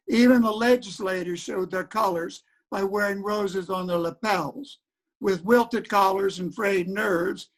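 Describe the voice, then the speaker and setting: High-pitched man narrator. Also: fairly bright tone, rough recording. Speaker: reader's theater performer. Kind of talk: theatrical scripted narration.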